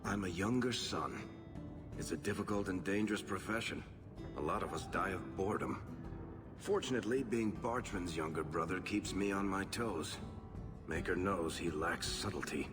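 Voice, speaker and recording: An actor playing a wry, glib dwarf adventurer with roguish charm in a video game. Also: male voice, sits low, moderate pace, lightly acted. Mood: self-amused, breezy. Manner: sardonic, glib, self-amused storytelling. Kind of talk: video game character dialogue. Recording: music behind the voice.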